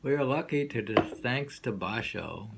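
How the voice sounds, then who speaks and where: A man reading poetry aloud and discussing it informally, in a musing, halting way that lingers and repeats lines. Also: medium pitch, expressive, vocal fry, very noisy. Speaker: poetry reader and host.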